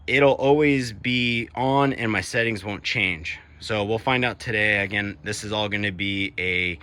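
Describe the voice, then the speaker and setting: A man's voice: lowish voice, a little slow, sharp tone. A man talking casually as a vlog host, explaining gear in an informal way. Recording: clearly noisy background ambience.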